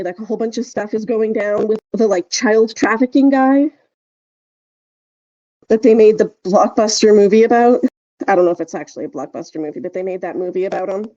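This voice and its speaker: Mellow-voiced woman chatting, dull dry recording. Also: medium pitch, no room sound. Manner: rambling, halting storytelling. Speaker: podcast co-host. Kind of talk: casual chat.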